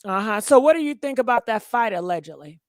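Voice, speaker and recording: A woman's voice: on the high side, animated, fast talker, dry recording, clean studio sound. A woman hosting a casual call-in gossip show, chatting and taking callers. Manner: chatty, takes callers and runs phone polls.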